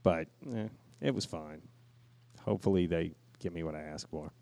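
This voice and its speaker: A man's voice: sits low, normal speed, gravelly, warm tone, dry recording. A man co-hosting a casual podcast, rambling through stories with plenty of swearing and quoted asides. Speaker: podcast co-host. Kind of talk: casual podcast chat with profanity.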